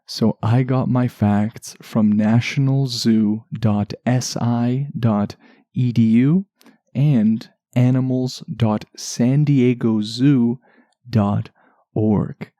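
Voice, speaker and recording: A man hosting a relaxation podcast in a soft, soothing voice, chatting gently and without hurry, lulling enough to send listeners to sleep. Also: mid-range voice, fairly even intonation, dry recording, clean studio sound. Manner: gentle, unhurried, chatty asides. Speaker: podcast host.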